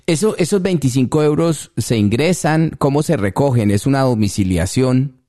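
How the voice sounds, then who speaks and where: A man's voice: mid-range voice, animated, moderate pace, warm tone. A man hosting a radio interview, asking questions and dropping brief "ujú" acknowledgements. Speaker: radio host.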